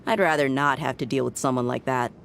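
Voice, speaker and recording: A woman's voice: rumbling low, steady tone, a little fast, vocal fry, a little hiss or hum. A woman voicing a playful, teasing young female mercenary in a game. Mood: businesslike. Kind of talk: game voice acting. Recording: game music playing loud behind.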